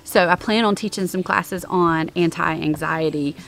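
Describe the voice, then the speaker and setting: A woman's voice: on the low side, measured pace, vocal fry, a bit bright. Chatty woman vlogging outdoors on a lawn. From American. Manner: chatty, many ums, upbeat about products. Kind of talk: casual product show-and-tell. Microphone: handheld camera at arm's length.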